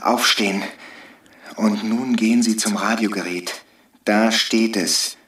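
An actor playing a defiant rebel agitator in a satirical radio play, giving blunt, commanding short orders. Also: male voice, on the low side, steady tone, slow, a bit bright. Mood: insistent. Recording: roomy echo, distant from mic.

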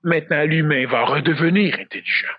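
A man's voice: a little high, brisk, no echo. A man with a Québécois accent giving a public lecture, talking emphatically, repeating himself and firing rhetorical questions at the audience. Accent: Québécois French. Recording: dull lo-fi dry recording.

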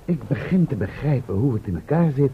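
A man's voice: medium pitch, lively intonation, a little fast, slightly rough. A man playing a baffled, protesting doctor in a radio play, speaking precisely and with dry sarcasm. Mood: puzzled. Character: baffled, protesting male doctor, the professor's sceptical colleague. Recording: dull old radio recording.

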